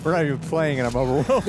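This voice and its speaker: A man co-hosting a reaction show, joking and exclaiming as he reacts to what he sees. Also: sits high. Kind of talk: casual reaction chat.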